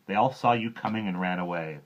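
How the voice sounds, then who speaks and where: A man's voice: low-pitched, a little fast, highs cut off, natural voice. A man voicing a smaller crocodile who snorts scornfully at his bigger companion.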